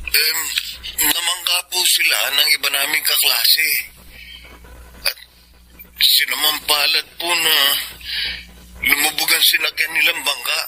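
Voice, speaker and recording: A man's voice: on the high side, fairly even intonation, a little slow, long pauses, pushed and bright, soft background music, rough recording. An actor playing a nervous, respectful young man hesitantly bearing bad news in a radio drama. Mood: hesitant, grave.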